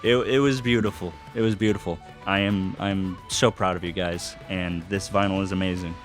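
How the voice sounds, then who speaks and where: A man's voice: sits low, fairly even intonation, moderate pace, faint music behind. A man giving a casual, enthusiastic monologue as host, speaking in an earnest, gushing way and swearing casually.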